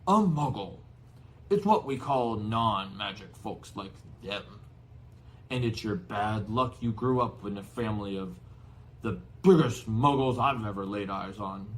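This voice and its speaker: Man playing a big blustering gruff giant. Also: on the low side, measured pace, a bit bright. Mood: gruff, defiant. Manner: rustic, blustering exclamations and hesitant asides. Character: big gruff excitable kindly male giant.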